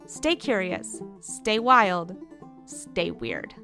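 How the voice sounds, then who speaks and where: A woman's voice: fairly low pitch, big pitch swings, very slow. A woman announcer reading scripted podcast credits. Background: plucked acoustic string music.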